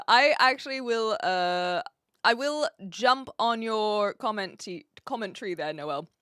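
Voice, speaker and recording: A woman's voice: a little high, fairly bright tone, no room sound, studio quality. Chatty streamer woman, blended British-leaning accent. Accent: blended New Zealand and British-leaning. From New Zealand. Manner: chatty, rambling, laughs often, answers chat directly. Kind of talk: casual live stream chat reading viewer messages.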